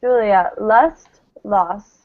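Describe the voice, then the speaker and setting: A woman's voice: medium pitch, extremely slow, phone-quality sound. A woman teaching English pronunciation in a live online lesson, encouraging and carefully enunciating model words over thin call audio. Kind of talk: live online lesson, drilling word pairs.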